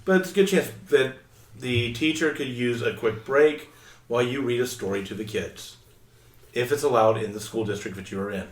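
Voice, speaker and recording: A man's voice: medium pitch, normal speed, echoey room, distant mic. A man hosting a casual panel chat, talking in a chatty way and often stumbling and correcting himself. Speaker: podcast host.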